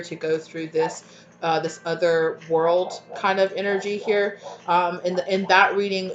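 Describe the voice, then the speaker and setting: A woman with a stuffy, congested voice rambles through a channeled tarot reading, her pitch held fairly flat. She keeps checking in with the listener as she goes. The home recording sounds dull and lo-fi, with a faint hiss behind her. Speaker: tarot reader. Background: dogs barking at times.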